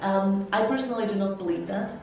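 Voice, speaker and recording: A woman from Slovakia with a Slovak accent, an interpreter and language mentor, giving measured, explanatory interview answers. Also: mid-range voice. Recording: echoey room, heard from some distance, soft music.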